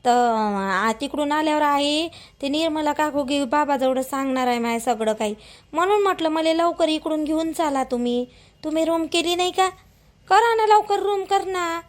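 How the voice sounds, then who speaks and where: A woman's voice: high-pitched, moderate pace, natural voice. A woman voicing an anxious, aggrieved young village wife in an amateur cartoon, pleading and whining in a Vidarbha Marathi accent. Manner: pleading, whining, repetitive protest. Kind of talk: amateur cartoon dialogue in rural dialect.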